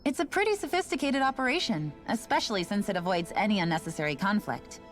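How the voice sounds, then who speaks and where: A woman's voice: medium pitch, animated, fast, close to an everyday voice. A woman playing an offhand station worker. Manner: casual, offhand, matter-of-fact. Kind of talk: video game voice acting. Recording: game audio with faint music.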